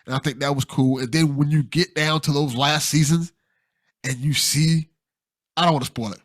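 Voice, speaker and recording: A man's voice: a little high, fairly even intonation, normal speed. A man hosting a casual podcast chat, often repeating his first words as he starts a sentence. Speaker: podcast host, interviewer.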